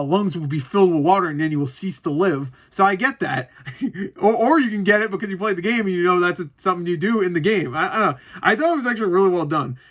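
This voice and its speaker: A man with a fairly high, very bright and forward voice chats through a movie review as if to his online viewers. He talks informally and off the cuff, wandering into asides and interrupting himself, and now and then drops a mild swear. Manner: rambling, self-interrupting, animated asides. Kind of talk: casual unscripted review chat to camera.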